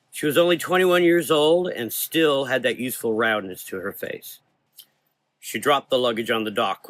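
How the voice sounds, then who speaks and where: A man's voice: medium pitch, sharp tone, no echo. A man narrating a scripted gothic horror story in a dramatic, solemn manner.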